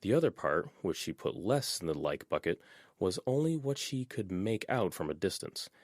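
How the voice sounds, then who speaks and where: A man narrating a fan audiobook chapter in an expressive, measured reading style. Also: low voice, no echo, clean studio sound. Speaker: audiobook reader.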